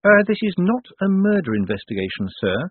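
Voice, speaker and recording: A man voicing a calm, curt female watch sergeant. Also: sits high, brisk, breathy edge, no room sound, phone-quality sound, pitched above the performer's own voice, full character voice.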